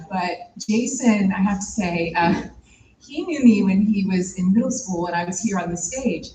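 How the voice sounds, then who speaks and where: A woman's voice: medium pitch, darkish tone, slight background noise. A woman in her forties telling candid stories as a tribute on a theater stage. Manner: storytelling, candid, with fillers. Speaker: eulogy speaker. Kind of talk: personal spoken tribute. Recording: echoey stage from a distance.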